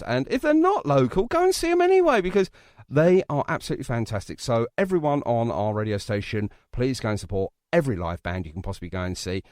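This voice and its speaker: Male radio host, lively swooping delivery. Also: medium pitch, no room sound, studio quality. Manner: jokey, rambling. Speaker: radio show host interviewing a musician. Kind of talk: casual interview chat.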